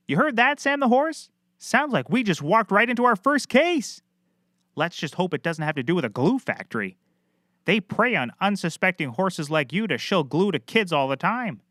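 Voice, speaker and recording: A man's voice: sits high, very animated, normal speed, sharp tone, dry recording, studio quality, full character voice. A man playing a cocky, clueless small-time private eye in a scripted comedy, glib and rambling. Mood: chipper, self-important. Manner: glib, rambling, self-assured.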